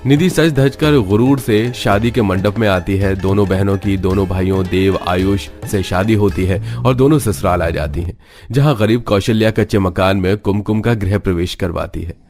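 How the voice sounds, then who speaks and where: A man's voice: lowish voice, normal speed, a little mellow, soft background music. A man reading scripted narration for a cartoon story.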